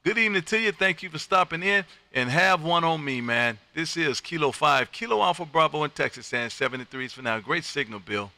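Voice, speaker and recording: Bright, forceful American man hosting ham radio. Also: on the high side, a little fast, hardly any pauses. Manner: exuberant, loud personality, repeats phrases for emphasis. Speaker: amateur radio operator and livestream host. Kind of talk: lively on-air ham radio chat with showman patter. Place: home radio room.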